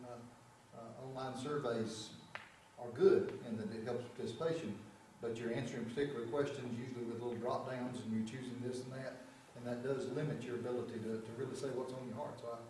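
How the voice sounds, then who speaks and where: A man on a school board speaking in a meeting, quick and stumbling over restarts. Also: medium pitch, background noise. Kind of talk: meeting discussion. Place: large meeting room. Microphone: far camera. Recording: echoey room from far off.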